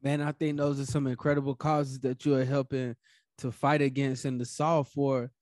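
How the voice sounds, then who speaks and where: A man's voice: mid-range voice, flat delivery, normal speed, a little mellow, no echo, clean studio sound. A man hosting a podcast and asking questions in a casual, conversational way. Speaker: podcast host. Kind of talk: casual interview.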